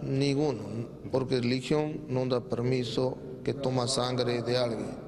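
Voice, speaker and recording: A man with an Indian accent giving short, halting interview answers. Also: mid-range voice, flat delivery. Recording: loud chatter behind on location.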